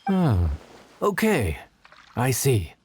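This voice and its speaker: A man's voice: mid-range voice, wildly swooping pitch, drawn-out words, darkish tone, breathy edge, heavily acted. A man playing an affable, easily flustered inn cook in a video game, talking casually and hesitantly. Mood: helpful. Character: affable, flustered male inn cook, modest yet boastful. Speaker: game character, inn chef.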